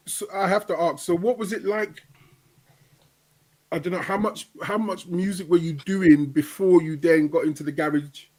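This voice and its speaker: A man hosting a casual podcast interview, asking questions and jumping in with excited reactions. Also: high voice, normal speed, poor audio. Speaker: podcast host.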